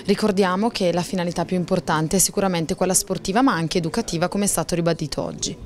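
A woman's voice: fairly low pitch, quick pace, a little mellow. A young woman asking questions as a local news reporter. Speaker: reporter interviewing officials. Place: indoor office building. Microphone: handheld reporter microphone.

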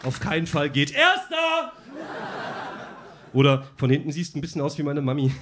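A man in his thirties performing a comic list poem on a small stage into a handheld mic, with a punchy deadpan delivery. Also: on the high side, moderate pace. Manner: punchy deadpan recital of lines. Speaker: poetry slammer. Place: small laundromat stage before a live audience. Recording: noisy live room with crowd. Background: crowd laughter and clicks.

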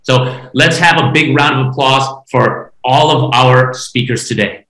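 Bright-voiced male panel moderator in echoey room. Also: medium pitch, unhurried. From Taiwan. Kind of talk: hosting an online panel discussion. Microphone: video call, laptop distance. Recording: echoey room on video call.

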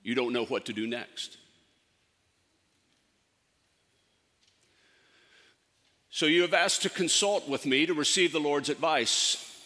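A man performing a theatrical monologue as a solemn, commanding ancient prophet, speaking deliberately with dramatic pauses. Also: a little high, lively intonation, sharp tone. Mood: grave, knowing. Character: prophet Isaiah, solemn, commanding, counseling a fearful king. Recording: echoey hall with long reverb.

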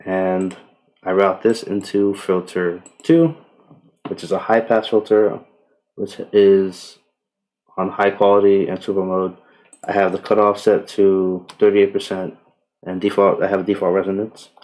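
A man giving a casual software tutorial in a relaxed, unhurried way with tentative asides. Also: deep voice, low-quality recording. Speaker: tutorial presenter. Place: indoors, voice-over on screen recording.

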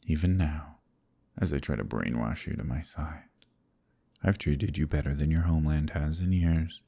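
A deep, gravelly demon king. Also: warm tone, heavily acted. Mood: bitter. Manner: slow, silky, menacing persuasion. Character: proud, scheming male demon king, smooth and possessive. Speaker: voice actor. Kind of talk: ASMR roleplay.

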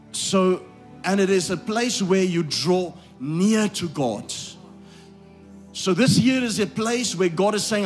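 A male pastor with a high voice preaches live from a church stage mic, softly backed by faint music. He moves from quiet asides to loud shouted peaks, repeating his phrases and checking that the crowd follows. His voice is going hoarse from the strain. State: losing his voice. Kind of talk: live sermon to a congregation.

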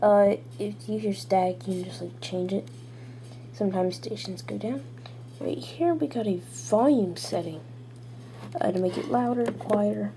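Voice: medium pitch, a little slow. A young person, child or teen, giving a casual, rambling gadget review into a handheld camera at home. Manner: informal, rambling. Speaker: video reviewer. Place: small room at home, indoors. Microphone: handheld camera's built-in mic. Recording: noisy handheld camera audio with clicks.

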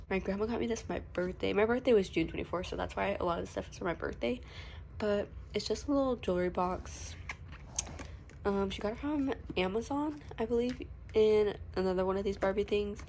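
Teen girl, mid-pitched voice, stuffy nose, chatty rambling, phone recording, bedroom, faint background hum. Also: creaky, darkish tone. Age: teens. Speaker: makeup vlogger. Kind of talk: casual vanity tour vlog. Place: bedroom at home. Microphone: phone camera.

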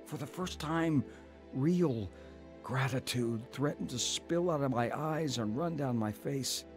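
An actor playing an earnest, emotional brother telling of his ordeal in a radio drama, with halting delivery. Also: mid-range voice, soft background music. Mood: reflective. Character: anguished, repentant brother narrating his blizzard ordeal. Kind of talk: radio drama acting and narration.

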